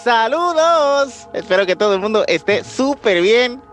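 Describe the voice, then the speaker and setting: A man's voice: very high-pitched, expressive, unhurried, fairly bright tone, soft background music. A man from Puerto Rico co-hosting a podcast in a casual, conversational way. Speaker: podcast co-host.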